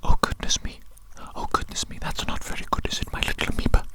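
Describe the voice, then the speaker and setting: A performer voicing an eccentric evil doctor in a breathy ASMR whisper, repeating phrases soothingly and dotingly. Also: quick pace, natural voice. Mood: fretful.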